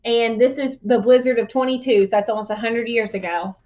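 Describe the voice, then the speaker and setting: Female read-aloud teacher: high voice, explanatory delivery for young listeners, muffled lo-fi sound. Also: fairly even intonation, brisk, a little mellow. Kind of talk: storybook reading with explanatory asides.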